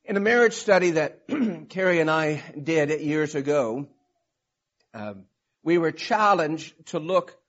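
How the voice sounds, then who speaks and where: A man preaching a sermon in a hoarse, raspy voice from a cold, talking informally with many restarts. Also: fairly high pitch, lively intonation, slow, muffled recording. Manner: informal, rambling with restarts and fillers. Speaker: pastor.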